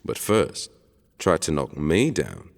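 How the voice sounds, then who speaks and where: A man playing a cocky, teasing but friendly alien paladin warrior in an audio drama, with a deep, gravelly voice and terse manner. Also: wildly swooping pitch, slow, exaggerated voice. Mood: friendly, confident. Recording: reverberant hall-like sound.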